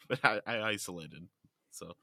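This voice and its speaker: A man's voice: on the low side, animated, unhurried, creaky, bright, forceful tone, no echo, studio quality. A man on a podcast with a congested, nasal voice from a stuffy nose, joking and laughing in casual chat. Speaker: podcast co-host.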